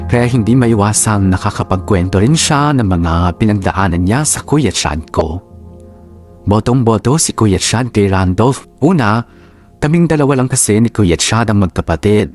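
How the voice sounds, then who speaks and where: Low-voiced man narrating over music. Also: lively intonation, moderate pace, a little mellow. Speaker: drama narrator. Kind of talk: scripted first-person story narration. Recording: music under the voice. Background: background music.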